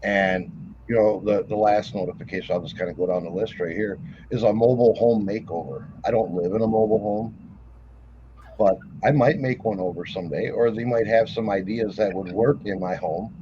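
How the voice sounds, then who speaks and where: Low-voiced man, easygoing rambling chat. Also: steady tone, brisk, dull audio, background noise. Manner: rambling, laid-back, trailing off. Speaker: co-host. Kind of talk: casual chat.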